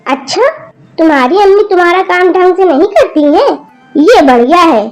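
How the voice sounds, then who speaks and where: A woman's voice: high-pitched, animated, normal speed, darkish tone, faint music behind. A woman doing a stern scolding grandma. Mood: lecturing. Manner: scolding, lecturing, firm.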